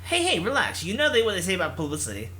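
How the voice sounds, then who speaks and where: Man playing a cocky, high-voiced young gamer. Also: wildly swooping pitch, racing, sharp tone, exaggerated voice. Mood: smug, unbothered. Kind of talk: visual novel character acting.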